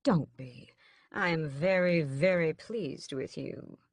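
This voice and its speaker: A woman's voice: low voice, takes it slowly, no room sound, heavily acted. A woman voicing a suave, sinister male vampire captor who drawls with languid, mocking menace in an audiobook. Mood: smug, languid.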